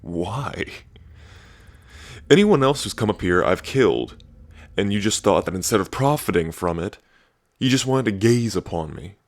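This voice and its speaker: A man's voice: lowish voice, lively intonation, moderate pace, gravelly, no room sound. A man playing an ancient dragon king in human form, smug and teasing, with a drawling, taunting delivery in a roleplay ASMR. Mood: curious, wry.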